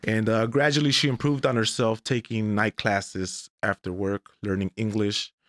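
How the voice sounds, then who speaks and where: A man in his forties, originally from Mexico, telling his life story as a guest on an interview podcast in a deliberate, measured way. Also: fairly low pitch, flat delivery, fairly bright tone, dry recording, clean studio sound. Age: 40s. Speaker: guest, English professor.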